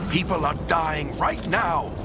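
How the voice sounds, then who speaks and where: A man playing an earnest, weary adventurer in a video game, imploring an old friend. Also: slow. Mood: urgent pleading. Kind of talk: cinematic video game acting. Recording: loud score and rumble behind. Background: loud music, rumbling effects.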